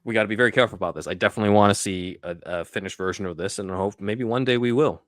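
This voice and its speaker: Deep-voiced man in casual discussion. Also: racing, dry recording, clean studio sound. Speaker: podcast host.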